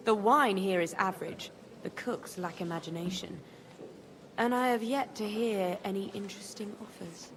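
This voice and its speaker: A woman's voice: mid-range voice, moderate pace, background noise, close to an everyday voice. An actress playing a shrewd, wry widowed medieval noblewoman in a video game, composed and dry in delivery. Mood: coolly probing. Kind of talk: video game dialogue acting.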